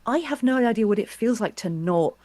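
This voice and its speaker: A woman interviewer in reflective, casual conversation, telling anecdotes in a halting, self-correcting way. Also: a little high, lively intonation, darkish tone, dry recording.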